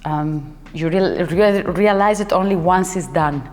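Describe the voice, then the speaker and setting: Low-voiced woman in a reverberant hall. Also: unhurried, a little mellow. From Italy. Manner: searching for words, restarts sentences, laughs often. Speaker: guest dancer and choreographer. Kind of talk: casual interview talk. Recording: hall reverb with faint music behind.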